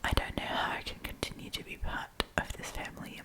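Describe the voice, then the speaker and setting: A woman hosting an ASMR storytime, reading online stories aloud in a soft, breathy whisper. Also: a little fast. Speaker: host of an ASMR storytime channel.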